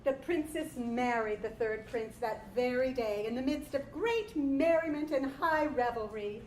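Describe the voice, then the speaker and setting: A woman with a fairly high voice narrates in a staged story reading. Her voice comes through a roomy recording with faint noise. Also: a little slow, darkish tone. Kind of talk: dramatized literary storytelling. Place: live staged reading in a room.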